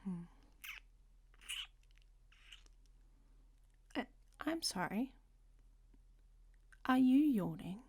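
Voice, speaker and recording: A woman's voice: fairly high pitch, lively intonation, slow pace, a little mellow, slightly rough, full character voice. A woman playing a worldly, flirtatious traveler in an audio roleplay, speaking to the listener in a teasing, often half-whispered way.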